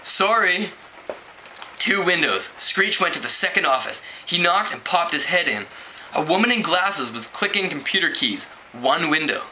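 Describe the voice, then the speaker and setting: A man with a very bright, slightly high voice reads a teen novel aloud. His delivery is expressive and animated, as an amateur storyteller's would be. The recording has clear background noise. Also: moderate pace. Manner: expressive, acts out dialogue with pitch jumps. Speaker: amateur book reader. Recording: clearly noisy home recording.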